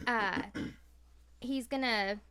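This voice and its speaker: High-voiced American woman chatting casually. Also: extremely slow, sharp tone, clean tone. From Maine, USA. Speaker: podcast guest, podcaster and voice actor. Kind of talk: casual interview chat.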